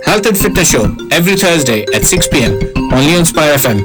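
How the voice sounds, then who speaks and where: Man voicing radio promo over loud music. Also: fairly high pitch, very animated, fairly quick. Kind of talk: scripted radio show promo.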